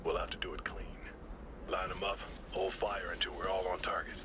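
A man's voice: low-pitched, flat delivery, fairly quick, background noise, natural voice. A man playing a terse, commanding special forces squad leader in a video game, speaking in clipped orders. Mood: businesslike. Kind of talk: tactical military dialogue in a video game. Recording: gunfire and battle ambience behind.

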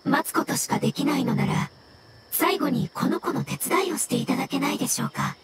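A woman playing a gentle, polite water fungus spirit in a game, speaking formally and with measured calm. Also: high voice, very noisy. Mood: polite, concerned. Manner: formal, measured, translating calmly. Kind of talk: scripted game dialogue in Japanese voice acting. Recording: music under the voice.

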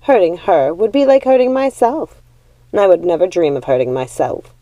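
A woman's voice: fairly low pitch, lively intonation, moderate pace, warm tone, no echo, lo-fi sound, close to an everyday voice. A woman voicing a scornful, wrathful old witch mother in a storybook, mocking and commanding. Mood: scornful amusement.

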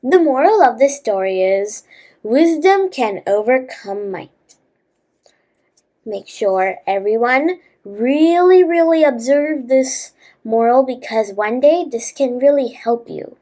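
A high-voiced woman tells a children's bedtime fable. She reads slowly and a little haltingly, stepping out of the text to chat to young listeners. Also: animated, dark tone. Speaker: storyteller and host of a children's story channel.

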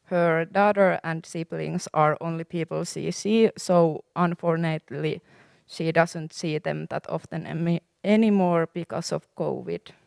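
A nineteen-year-old woman from Finland reads her part of a class presentation into a handheld mic. Her voice is low with a strong gravelly fry, and the sound is dry and close. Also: fairly even intonation, measured pace, a little mellow, dry recording. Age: teens. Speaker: student presenter.

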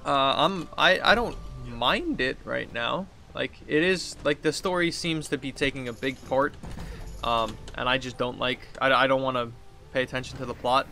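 A man's voice: mid-range voice, normal speed, sharp tone, slight background noise. A man in his thirties giving casual, rambling let's play commentary, thinking aloud as he plays. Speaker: gaming video host. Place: indoors at a computer desk.

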